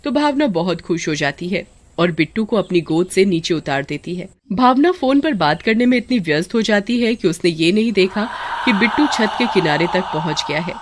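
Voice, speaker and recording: A woman's voice: on the low side, lively intonation, normal speed, slight background noise. A woman narrating a scripted story as a storyteller.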